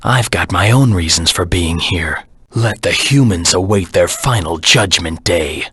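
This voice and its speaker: A man voicing a brooding, defiant antihero creature in a video game, grave and menacing, with a gravelly rasp. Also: deep voice, a little slow, a bit bright, dry recording, clean studio sound, exaggerated voice. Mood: brooding, intent. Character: brooding, defiant adult male antihero creature, cold and determined. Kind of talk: dramatic game voice acting.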